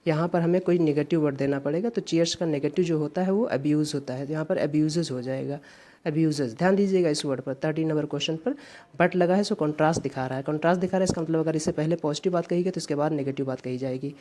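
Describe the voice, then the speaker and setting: Low, mellow-voiced woman teaching grammar. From India. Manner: repetitive, reads example sentences slowly and deliberately. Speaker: English exam teacher. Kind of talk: explaining grammar questions.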